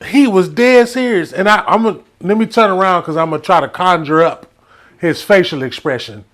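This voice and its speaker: A man's voice: sits high, fairly quick, a bit bright. A man from Texas with a Southern US accent, telling comic stories in a casual, playful, rambling way. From Texas, United States. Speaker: storyteller.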